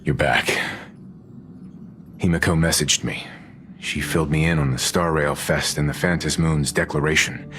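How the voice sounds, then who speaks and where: A man voicing a steady, reflective video game character in a composed, serious manner. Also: extremely low pitch, moderate pace, long pauses. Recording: music under the voice.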